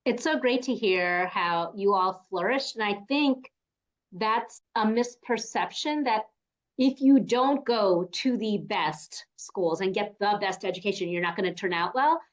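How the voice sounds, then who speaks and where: A woman's voice: medium pitch, a little slow, low-quality recording. A woman hosting a podcast interview, affirming and encouraging her guest. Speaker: podcast host. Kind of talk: interview conversation.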